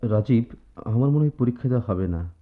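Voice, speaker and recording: An actor in a radio drama playing a troubled, despairing young student in love, downcast and confiding. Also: male voice, medium pitch, expressive, fairly quick, faint music behind, natural voice. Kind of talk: Bengali radio drama acting. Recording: tinny phone-like radio-play recording.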